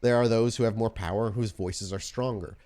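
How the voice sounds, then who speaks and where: A man's voice: lowish voice, fairly even intonation, normal speed, mellow, close to an everyday voice. Man playing a blunt, profane streetwise vampire woman. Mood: impassioned, defiant. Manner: profane, folksy, argumentative. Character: streetwise female vampire anarch, blunt, idealistic, scornful. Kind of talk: in-character tabletop roleplay.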